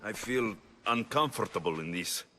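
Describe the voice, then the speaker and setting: A young male mercenary from a video game, his voice low and forceful. He is guarded and blunt, with a dry, wry way of speaking. Faint music plays behind him. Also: animated, normal speed. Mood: uneasy. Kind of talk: video game cutscene acting.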